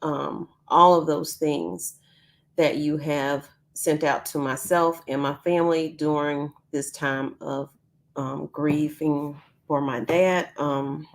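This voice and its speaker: A woman's voice: sits low, fairly even intonation, takes it slowly. A woman hosting a casual live news stream from home, chatty with frequent ums, who is losing her voice. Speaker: YouTube news channel host.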